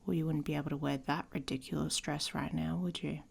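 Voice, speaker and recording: Woman playing a husky, whispery, taunting she-wolf. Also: low-pitched, fairly even intonation, fairly quick, full character voice. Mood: teasing, seductive. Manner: teasing, sardonic, often dropping into whispers. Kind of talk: intimate ASMR roleplay.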